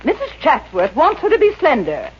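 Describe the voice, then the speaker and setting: An actress playing a stern, exasperated woman boss in a 1950s radio comedy, with a husky voice and clipped, imperious delivery. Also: sits high, wildly swooping pitch, moderate pace. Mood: stern, demanding. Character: stern, exasperated female boss of a reducing salon. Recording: muffled old radio recording.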